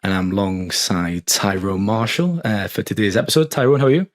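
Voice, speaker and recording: An English man hosting a football podcast, friendly and teasing, his voice slightly hoarse. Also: lowish voice, expressive, fairly quick. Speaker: podcast host. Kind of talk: casual podcast chat.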